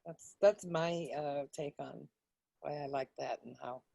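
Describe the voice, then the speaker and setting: A woman's voice: low-pitched, steady tone, measured pace, vocal fry, dark tone, no echo. A woman chatting casually in an online group meeting, speaking in an encouraging and personal way. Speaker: discussion participant.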